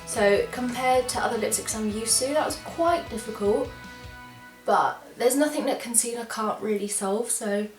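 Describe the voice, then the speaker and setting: A woman's voice: a little high, normal speed, roomy sound, soft background music. Bunged-up chatty English woman. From England. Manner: chatty, enthusiastic, apologetic asides. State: congested from a cold. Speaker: beauty vlogger. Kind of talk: casual product try-on chat.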